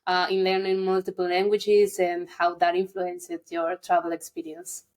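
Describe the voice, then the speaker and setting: A woman's voice: fairly low pitch, completely flat delivery, normal speed, a little mellow. A young woman hosting a casual interview over a video call, friendly and often laughing. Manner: friendly, laughs often, non-native phrasing. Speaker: podcast host. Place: indoor room with curtains.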